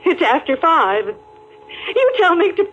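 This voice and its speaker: Dull vintage radio sound carries an anxious mother. She speaks high and slowly, halting and evasive as if hiding dread. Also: sing-song, fairly bright tone, heavily acted. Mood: shaky dread. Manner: hesitant, halting, faltering. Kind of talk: 1950s radio drama acting.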